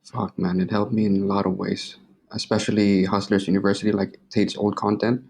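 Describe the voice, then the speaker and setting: Low-pitched young man, casual and slangy. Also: level tone, a little fast, gravelly, a little mellow, slight background noise. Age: 20s. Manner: informal, slangy, swearing. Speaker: interview guest. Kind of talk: casual interview chat.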